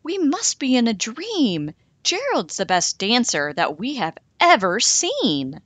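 A woman voicing a crowd of jungle animals in a storybook, calling out loud and high. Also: huge pitch swings, takes it slowly, no echo, exaggerated voice. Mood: amazed.

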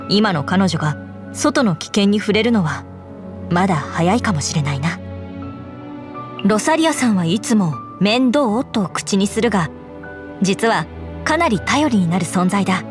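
A woman voicing an earnest, dutiful young knight commander in a Japanese game, speaking in a formal, measured way. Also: medium pitch, close to an everyday voice. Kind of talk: Japanese game character voice lines. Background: background music.

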